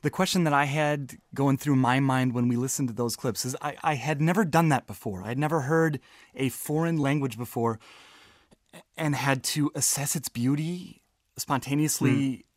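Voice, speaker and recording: A man's voice: mid-range voice, moderate pace, dry recording, studio quality. A man co-hosting a podcast, speaking in a reflective, casual way and wrapping up the segment. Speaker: podcast co-host.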